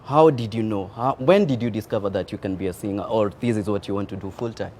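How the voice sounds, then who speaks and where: A man's voice: on the low side, fast talker, slight background noise. A man hosting a casual interview, upbeat and chatty, with frequent murmurs of agreement. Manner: energetic greeting, frequent affirming murmurs. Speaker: interview host.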